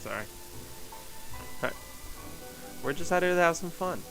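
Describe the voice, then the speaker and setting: A man's voice: fairly high pitch, expressive, moderate pace, gravelly, poor audio. A man livestreaming a game with casual commentary, muttering to himself and reading choices aloud. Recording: game music faintly behind.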